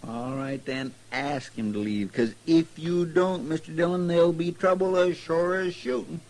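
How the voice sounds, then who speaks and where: An actor playing a fretful, feisty marshal's helper in a radio western, with a rustic, stubborn manner and a Western American drawl. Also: male voice, a little high, moderate pace, close to an everyday voice. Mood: worried, insistent. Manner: rustic, plain-spoken, stubborn. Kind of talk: old-time radio western acting. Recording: noisy vintage radio recording.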